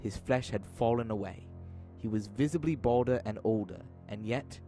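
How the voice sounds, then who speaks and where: A man narrating an audio drama from a script, telling the story in a measured, dramatic manner. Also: lowish voice, poor audio.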